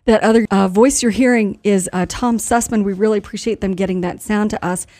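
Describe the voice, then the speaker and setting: A woman's voice: mid-range voice, fairly even intonation, fairly quick, a little mellow. A woman hosting a radio news recap, introducing clips in a conversational way.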